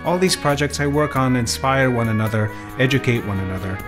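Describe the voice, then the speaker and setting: A man from New York narrating a scripted voice-over about his band in a measured, even way. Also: mid-range voice. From grew up in New York City. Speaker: bandleader and composer. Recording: voice with loud music behind.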